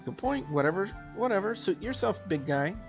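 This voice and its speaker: Man putting on a high, flirty lady doctor. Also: lively intonation, a little fast, creaky, dull audio, faint music behind. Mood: seductive, playful. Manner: coy, breezy, teasing.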